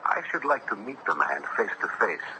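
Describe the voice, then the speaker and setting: An actor playing a curious, compassionate young judge in a 1970s radio drama, speaking formally and with restraint. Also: male voice, on the low side, sing-song, normal speed. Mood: thoughtful resolve. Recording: noisy vintage radio broadcast.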